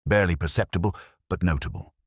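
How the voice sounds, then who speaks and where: A man reading a scripted mystery story as narrator in a wry, measured, literary manner. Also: low voice, slightly rough, no room sound, studio quality.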